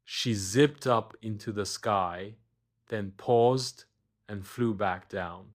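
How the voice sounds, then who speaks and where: A man's voice: on the low side, slow pace. A man reading a scripted children's bedtime story as its narrator.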